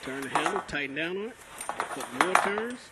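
A man giving a casual how-to lesson on plumbing while he works on a concrete floor, speaking plainly with many pauses. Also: a little high, expressive. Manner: plain, unhurried, many "uh" pauses between terms. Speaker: plumbing instructor. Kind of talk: casual how-to narration over hands-on work. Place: indoors on a concrete floor, working close to the camera. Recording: loud noise behind.

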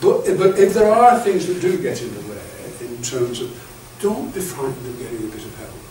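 A man's voice: mid-range voice, big pitch swings, brisk. Older man lecturing in an echoey room. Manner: chatty, anecdotal, many restarts and stammered repeats. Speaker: bishop leading clergy training. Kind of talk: lecture to clergy. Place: classroom-sized meeting room with projector screen. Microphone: far camera. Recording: echoey room, far from the mic, faint hiss.

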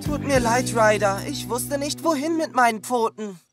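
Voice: mid-range voice, normal speed, faint music behind, natural voice. An actor playing an eager young puppy, childlike and earnest, in a children's cartoon audio drama. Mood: unsure. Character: eager young puppy, unsure but willing.

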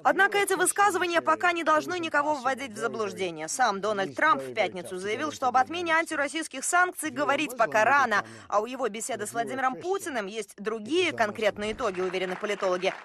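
A woman reporting as a news correspondent, narrating a scripted report over faint ambient sound. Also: a little high.

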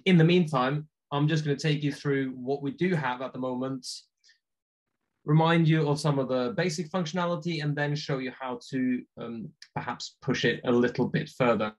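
A man whose first language is Norwegian walks through video text templates on a video call, talking in a relaxed, chatty way with lots of ums and uhs. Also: mid-range voice, a little fast. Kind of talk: screen-share tutorial on a video call. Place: home room with bookshelves.

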